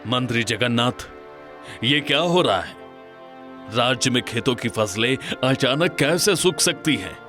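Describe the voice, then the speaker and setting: Slow-spoken, stately storybook king played by a man. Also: mid-range voice, lively intonation, bright, forceful tone, soft background music. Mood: worried. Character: earnest, authoritative adult Indian king in a folk tale. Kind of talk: dramatised cartoon storybook dialogue.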